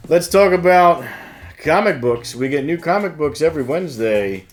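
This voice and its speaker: A man's voice: on the high side, expressive, normal speed, soft background music. A man co-hosting a shop news show, mixing scripted announcements with casual banter. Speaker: co-host of a store news show, announcing events.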